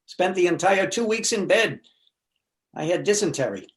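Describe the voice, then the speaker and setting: Man acting a high-voiced neurotic divorced film critic. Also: lively intonation, normal speed. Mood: wry self-pity. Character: neurotic, self-pitying newly divorced male film critic. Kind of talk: stage comedy readers theater acting.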